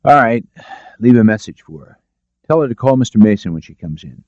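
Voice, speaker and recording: A man performs a probing, composed defence lawyer in a detective audiobook. The delivery is direct, the voice mellow, the tape slightly dull. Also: mid-range voice, expressive, brisk, no room sound, pitched above the performer's own voice, natural voice. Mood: brisk. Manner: direct, questioning.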